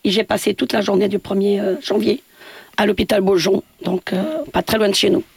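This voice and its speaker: A woman's voice: mid-range voice, flat delivery, fairly quick, creaky, husky, dry recording. A woman from Portugal, a building caretaker speaking as a radio interview guest, hesitant with many fillers and restarts.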